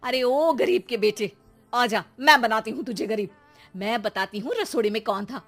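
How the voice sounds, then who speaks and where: Woman performing an exasperated mom, high voice, sharp-tongued, scolding, sarcastic, comic audio drama, faint music behind. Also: normal speed. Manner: sarcastic, nagging, theatrical. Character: exasperated Indian mother, sharp-tongued, scolding her young son and husband.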